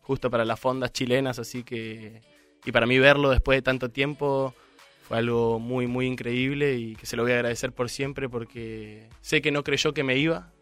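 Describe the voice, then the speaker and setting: A man in his twenties from Argentina, a radio guest talking in a soft-spoken, grateful and unhurried way. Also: medium pitch, fairly even intonation, soft background music. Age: 20s. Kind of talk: casual interview.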